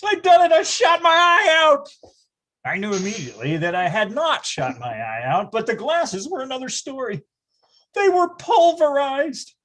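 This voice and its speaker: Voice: high-pitched, sing-song, normal speed, a bit bright. A performer playing a wry storyteller recalling boyhood, narrating in a grandiose, melodramatic comic manner. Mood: mock alarm. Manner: grandiose, dramatic wording.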